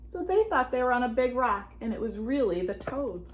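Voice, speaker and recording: A woman reading a storybook aloud expressively to children, pausing for asides and questions to her young listeners. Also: on the high side, slight background noise. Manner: animated, addresses children with questions. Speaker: children's read-aloud reader.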